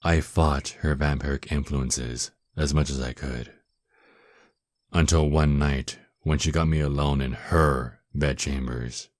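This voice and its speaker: A man performs an ancient vampire lord in an ASMR roleplay, his voice extremely deep and recorded close and dry. He takes his time over each phrase, condescending and teasing. The temper is dominant, smug and seductively menacing. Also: a little mellow, no echo. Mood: brooding, smug.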